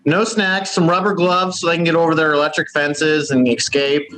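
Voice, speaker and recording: A man doing a deadpan comedy bit in an exaggerated Southern US drawl, from West Virginia. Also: a little high, normal speed, poor audio. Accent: put-on Southern US drawl. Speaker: comedy correspondent.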